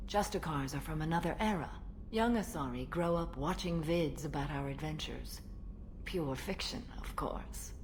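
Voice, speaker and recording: A woman playing an ancient, stern and serene alien warrior in a video game, speaking formally and with measured solemnity. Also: sits low, darkish tone, slight background noise, close to an everyday voice. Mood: solemn, resolute. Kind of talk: video game dialogue acting.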